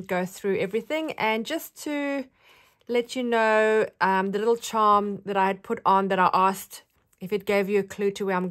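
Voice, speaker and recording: Friendly South African woman vlogger, chatty, mid-pitched, relaxed show-and-tell delivery. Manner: chatty, friendly, rambling with fillers. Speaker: craft vlogger.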